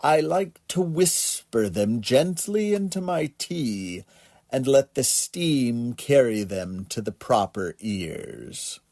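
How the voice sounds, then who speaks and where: A man voices an eccentric old-fashioned ticket clerk in a bedtime story, grave and gentle. He talks slowly and softly, in a mellow tone. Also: mid-range voice, expressive, no echo, studio quality. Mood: gentle solemnity. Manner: solemn, gentle, slow. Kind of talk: children's storybook character voice.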